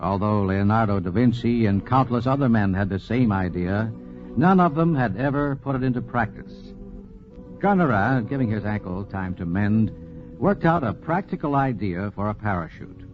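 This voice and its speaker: A muffled vintage radio recording of a man hosting and narrating. His formal announcer delivery swings dramatically in pitch over clear background music. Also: lively intonation, moderate pace. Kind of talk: scripted 1940s radio narration.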